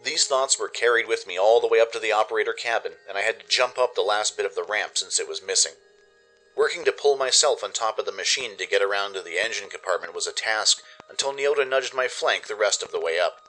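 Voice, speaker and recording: A man's voice: fairly low pitch, quick pace, sharp tone, soft background music, poor audio. A man narrating a scripted adventure story in the first person, in a dramatic storytelling style. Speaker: narrator and producer.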